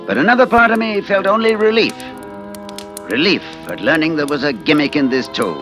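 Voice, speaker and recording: Betrayed husband, old radio thriller: brooding, calculating, deliberate, very expressive range, music underneath. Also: male voice, fairly high pitch. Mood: brooding, bitter. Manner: brooding, deliberate confessional delivery with dramatic pauses. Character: betrayed husband, stage performer, bitter, quietly calculating narrator. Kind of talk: old-time radio suspense acting. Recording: vintage radio broadcast recording with music.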